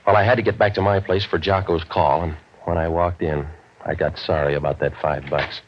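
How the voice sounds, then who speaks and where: Low-voiced hardboiled radio private eye. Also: male voice, fairly quick. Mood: deadpan narrating. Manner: clipped, deadpan, slangy tough talk. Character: hardboiled, world-weary waterfront private eye, gruff and wisecracking. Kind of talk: 1940s radio noir acting with first-person narration. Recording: dull vintage radio recording.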